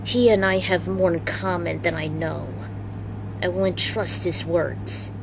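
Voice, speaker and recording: A woman's voice: on the low side, steady tone, measured pace, creaky, noisy background. A woman voicing a conflicted, brooding male ninja messenger in a solemn way in a fantasy story.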